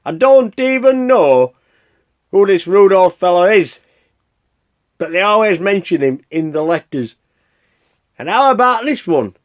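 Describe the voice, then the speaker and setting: A high-pitched, swooping, exasperated Santa. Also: male voice, very animated, a little slow, pauses often. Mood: exasperated, indignant. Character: exasperated Santa Claus wading through his fan mail. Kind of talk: radio play acting.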